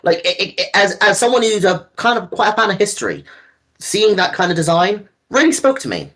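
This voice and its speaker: A man's voice: high-pitched, big pitch swings, fairly quick, pushed and bright. A man co-hosting a casual fan discussion, talking in an enthusiastic, rambling way with some casual swearing. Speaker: co-host.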